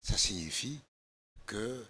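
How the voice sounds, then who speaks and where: Older man, deep hoarse slow voice. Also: animated, pushed and bright. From Democratic Republic of the Congo. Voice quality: hoarse, gravelly voice. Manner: measured, halting with frequent fillers. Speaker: political guest. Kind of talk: studio interview. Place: TV studio. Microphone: desk microphone.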